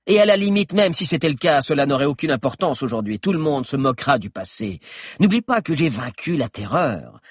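A man voicing a sneering, menacing male stag lord, pitched well above his own voice. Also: big pitch swings, a little fast, breathy edge, no room sound, studio quality. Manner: mocking, menacing.

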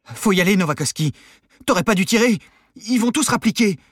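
A nervous male convict, acted out by a man reading an audiobook, his shaky voice jumping well up in pitch. He sounds urgent, panicked and out of his depth, with a raspy edge. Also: animated, a little fast, fairly bright tone, dry recording, clean studio sound, exaggerated voice. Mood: panicked, reproachful. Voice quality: trembling voice. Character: panicky, trembling male convict, frightened reluctant accomplice. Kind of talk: dramatic audiobook character voice.

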